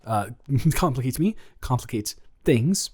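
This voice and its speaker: A man's voice: medium pitch, animated, a little slow, darkish tone, husky. A man playing a nervous, lovestruck young man confessing to his best friend in an improvised romantic audio roleplay, stammering and rambling as he goes. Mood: flustered, defensive.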